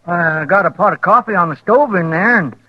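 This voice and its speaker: An actor playing a meek, lonely rancher with a homespun, hesitant manner and a rural Western American accent in an old radio drama. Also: male voice, high-pitched, fairly quick. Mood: neighborly. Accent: rural American West. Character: folksy, lonely male rancher, meek old-West prospector. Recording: dry, muffled old radio recording.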